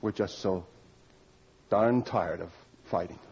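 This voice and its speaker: Low-voiced, exhausted army captain. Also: male voice, takes it slowly. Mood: weary relief. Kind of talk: 1940s radio drama acting. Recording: muffled old radio recording.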